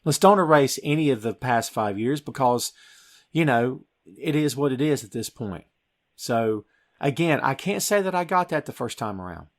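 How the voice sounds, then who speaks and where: A man's voice: medium pitch, animated, normal speed. A middle-aged American man hosting a podcast, talking about film in a casual, emphatic, conversational way. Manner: emphatic, conversational, addresses listener as "dude". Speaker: podcast host.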